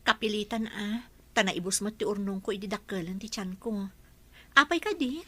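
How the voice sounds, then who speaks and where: A woman's voice: medium pitch, normal speed, dry recording, natural voice. A woman playing an anxious, long-suffering young mother in a radio drama, plaintive and earnest. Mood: worried.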